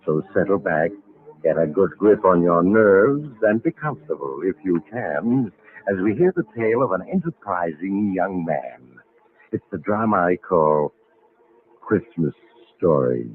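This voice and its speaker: Sinister radio storyteller, deep and swooping. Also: male voice, big pitch swings, unhurried. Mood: sly menace. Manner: theatrical, sly, ominous. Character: sinister, mysterious male traveler who tells eerie tales. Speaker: host and narrator of a horror anthology. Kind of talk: vintage radio drama narration. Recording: muffled, noisy old radio broadcast recording.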